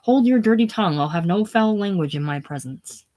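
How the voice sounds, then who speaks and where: A reader voicing a bold, quick-tempered young farm woman, loud and fierce. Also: mid-range voice, lively intonation, natural voice. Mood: scornful.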